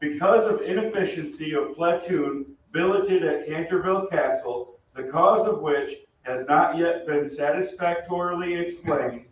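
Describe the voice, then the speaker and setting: A man's voice: fairly high pitch, unhurried, hardly any pauses, natural voice. A man playing a brash young American soldier in an old-time radio play, with breezy, slangy delivery. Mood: self-important. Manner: breezy, slangy, hesitant in bursts. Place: theatre stage. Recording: very echoey stage, distant mic, muffled.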